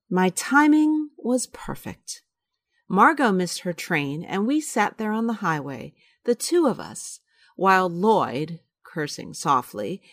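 An actress playing a guilty, scheming well-bred wife who confides in a measured, wry way in a radio drama. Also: female voice, medium pitch, dry recording, clean studio sound, heavily acted. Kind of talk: radio drama acting with confessional narration.

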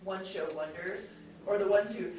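A woman speaks as a chatty, animated panelist, addressing an audience without a script. She sits away from the microphone in a heavily reverberant room, with a faint layer of noise around her voice. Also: mid-range voice, normal speed. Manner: chatty, animatedly mimics others in quoted speech. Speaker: podcast producer speaking as panelist. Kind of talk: unscripted panel talk to an audience. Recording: very echoey room, distant mic, faint noise.

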